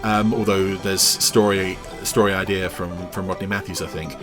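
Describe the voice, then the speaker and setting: A man's voice: deep voice, level tone, moderate pace, music in the background. A man giving rambling, enthusiastic podcast commentary over cartoon clips. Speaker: podcast reviewer.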